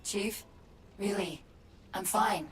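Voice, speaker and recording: A woman playing a quick-witted military AI in a video game, caring and quietly vulnerable, with an articulate, wry delivery. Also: mid-range voice, slight background noise, natural voice, rough recording. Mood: sad, resigned. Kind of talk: cinematic video game acting.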